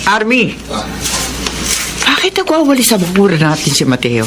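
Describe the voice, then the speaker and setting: A woman's voice: mid-range voice, sing-song, normal speed, heavy background noise, lightly acted. A woman acting in a Filipino radio drama as a stern, disapproving older mother who scolds curtly. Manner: scolding, suspicious, curt.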